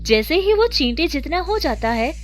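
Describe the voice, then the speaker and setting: A woman's voice: sits high, sing-song, normal speed. A woman narrator reading a scripted children's story. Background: music.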